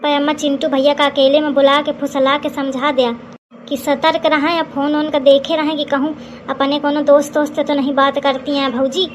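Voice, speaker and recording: महिला की आवाज़ में ऊँची आवाज़ वाला अवधी लड़का. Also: female voice, sits high, flat delivery, fairly quick, slight background noise, close to an everyday voice. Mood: agreeable, teasing. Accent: Awadhi. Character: cheeky unmarried young village son, mother's confidant. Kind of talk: Awadhi comedy cartoon dialogue.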